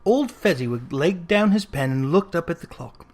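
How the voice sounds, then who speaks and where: A reader giving an expressive, dramatic narration of a classic story, leaning into lists with emphasis. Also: medium pitch, moderate pace. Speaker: audiobook reader.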